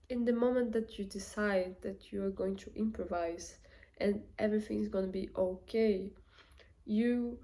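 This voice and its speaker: A woman's voice: a little high, darkish tone. A young woman with a Portuguese accent, talking casually to camera as a vlogger, thinking aloud with fillers and restarts. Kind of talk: casual reflective talk to camera.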